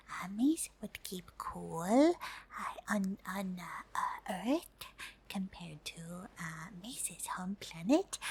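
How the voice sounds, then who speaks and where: A woman voicing a friendly, curious alien in a whispered ASMR roleplay, halting and politely formal, in a soft breathy voice. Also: medium pitch, heavily acted. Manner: halting, hesitant, politely formal, third-person self-reference. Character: friendly curious pink female alien host, shy and hesitant.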